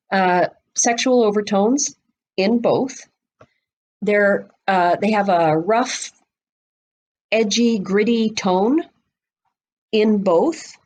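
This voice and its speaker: A woman's voice: medium pitch, a little mellow. A woman speaking as a podcast guest, explaining things conversationally in an expansive, knowledgeable way. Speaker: guest expert on a book podcast. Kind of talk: conversational explanation of literary history.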